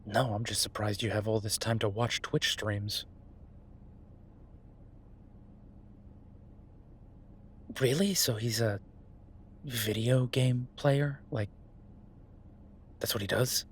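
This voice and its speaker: Guy playing an easygoing chef boyfriend in an audio roleplay, lowish voice, hesitant wry chatty delivery, some noise behind him. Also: measured pace, close to an everyday voice. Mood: surprised, curious. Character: Beau, easygoing male chef, reassuring his werewolf partner. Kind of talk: naturalistic romantic audio roleplay. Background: ambient sound effects.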